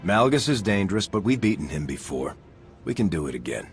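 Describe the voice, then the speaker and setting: An actor playing a steadfast veteran military commander in a video game, speaking in an earnest, measured way. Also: male voice, sits low, sing-song, faint music behind. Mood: resolute. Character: steadfast gruff male military commander, veteran war hero. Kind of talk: video game cutscene acting.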